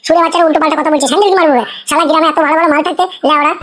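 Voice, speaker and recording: A woman plays a squeaky, extremely high-voiced young village drunkard from a crude comedy cartoon. He rants at breakneck speed, grumbling and swearing without pause. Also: fairly even intonation, background noise, natural voice. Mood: irritated, threatening. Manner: ranting, swearing constantly. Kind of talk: crude comic cartoon dialogue.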